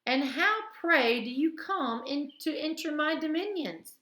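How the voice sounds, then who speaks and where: A woman's voice: high-pitched, expressive, a little slow, bright, forceful tone, voice pushed up, full character voice. A woman voicing an imperious, stern queen who asks commanding questions, recorded with a roomy echo.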